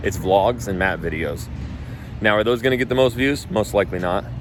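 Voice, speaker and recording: Blunt, cursing man with a low voice. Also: fairly quick, background noise. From North Idaho. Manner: blunt, profane and emphatic. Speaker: real estate agent and coach. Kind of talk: casual talk.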